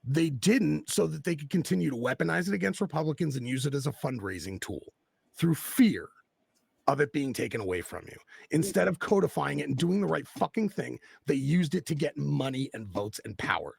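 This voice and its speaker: A man with a very gravelly, husky voice, speaking at a slightly raised pitch. He talks bluntly and with passion, swearing freely. The sound is close and dry. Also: very animated, a little fast, no echo. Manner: blunt and profane, impassioned. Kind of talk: casual political chat.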